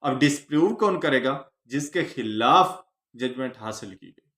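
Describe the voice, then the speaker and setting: Male lecturer, Pakistani accent. Measured, slow, emphatic question-and-answer teaching, recorded in an echoing room. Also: medium pitch, lively intonation, fairly bright tone. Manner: didactic, emphatic stress on phrases, mixes in English legal terms. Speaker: law lecturer. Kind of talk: instructional lecture, posing questions then answering them.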